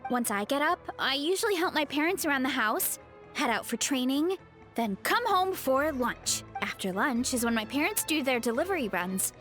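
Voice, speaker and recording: A woman voicing a cheerful, friendly young woman athlete in a game, who talks in a bright, earnest way. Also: high-pitched, expressive, normal speed. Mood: chatty. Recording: game audio with faint music.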